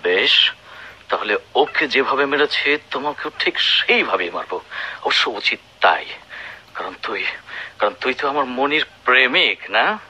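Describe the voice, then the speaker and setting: A man's voice: medium pitch, expressive, measured pace, bright, forceful tone, muffled recording, slight background noise. A man acting in a radio melodrama as a jealous, violent husband, bitter, taunting and menacing. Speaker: radio drama actor.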